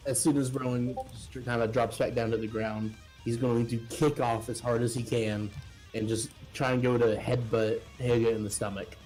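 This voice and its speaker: A man's voice: mid-range voice, little pitch movement, normal speed, darkish tone, poor audio. A man talking casually as a player in a tabletop role-playing game, informal and sweary. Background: guitar music.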